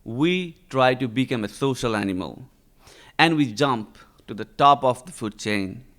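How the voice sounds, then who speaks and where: Slow, deliberate man giving a lecture. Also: mid-range voice, expressive, fairly bright tone. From Nepal. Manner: slow and deliberate, frequent "uh" and "right?". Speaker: researcher giving a talk. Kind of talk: conference lecture. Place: conference hall.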